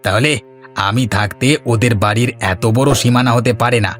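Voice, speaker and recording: A man's voice: mid-range voice, steady tone, a little fast, fairly bright tone, soft background music, lightly acted. A man voicing a greedy, scheming village husband in a cartoon, bossy and insistent as he plots against neighbours. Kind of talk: cartoon voice acting.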